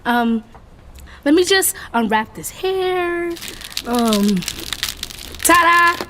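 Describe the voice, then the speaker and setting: A woman's voice: extremely high pitch, animated, very slow, background noise, full character voice. An actress playing a sweet, shy, eager-to-please young woman sports fan with a hesitant manner in an audio drama. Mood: shy, hopeful. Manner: hesitant, giggly. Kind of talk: naturalistic audio drama acting.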